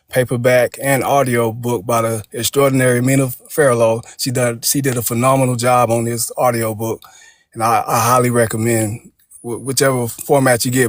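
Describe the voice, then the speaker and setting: A man's voice: mid-range voice, no echo. A man speaking earnestly and sincerely as a debut novelist being interviewed about his work.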